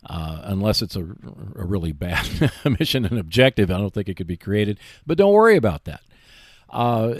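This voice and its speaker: A man's voice: mid-range voice, a little mellow, no room sound, studio quality. A man hosting a solo gaming podcast, explaining and giving tips in a conversational way. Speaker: podcast host.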